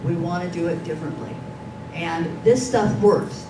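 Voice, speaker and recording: A woman's voice: on the low side, expressive, normal speed. An older woman giving an informal, playful and emphatic presentation in a meeting room. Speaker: workshop presenter. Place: dim conference meeting room. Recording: distant, echoey, noisy room recording.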